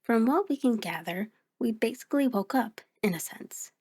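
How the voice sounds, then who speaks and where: A woman's voice: fairly high pitch, animated, normal speed, a little mellow, breathy edge. Chatty, sheepish mirror reflection voiced by a woman. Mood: chatty, reassuring. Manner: conversational, hesitant, explanatory, with musing asides. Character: sentient female mirror reflection, sheepish, chatty, patient explainer. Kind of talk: ASMR audio roleplay, speaking directly to listener.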